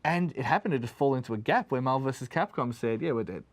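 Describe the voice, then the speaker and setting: A man talks at a quick clip as co-host of a gaming discussion. He is opinionated and emphatic, laying out his case in casual language. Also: medium pitch, lively intonation. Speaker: show co-host. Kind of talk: casual video game discussion.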